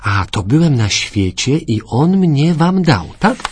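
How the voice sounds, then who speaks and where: A man's voice: fairly high pitch, big pitch swings, slow, darkish tone, slight background noise. An actor playing a curious little boy in a radio play, persistently asking questions.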